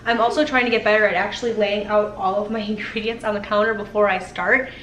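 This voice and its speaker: A woman's voice: medium pitch, fairly even intonation, fast, fairly bright tone. A young woman vlogging casually from her home kitchen, upbeat and rambling, a little congested from a head cold. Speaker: homestead cooking vlogger. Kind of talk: casual kitchen vlog chat. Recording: echoey kitchen, faint room noise.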